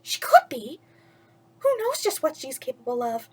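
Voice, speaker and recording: A woman's voice: very animated, normal speed. A woman voicing a bossy, spiteful young stepsister from a storybook, easily rattled. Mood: nervous, suspicious. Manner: barking, shrill orders.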